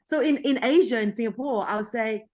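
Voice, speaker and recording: A woman's voice: fairly high pitch, moderate pace, a little mellow. A middle-aged woman, a journalist, talking casually in an interview with frequent restarts. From Australia. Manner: chatty, frequent restarts and laughter. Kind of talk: interview conversation.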